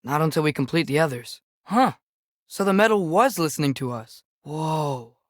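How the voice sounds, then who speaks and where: A man's voice: on the high side, lively intonation, moderate pace, no room sound, clean studio sound, natural voice. A young man playing an eager, earnest, curious teenage boy, a companion in a video game, fighting beside his father.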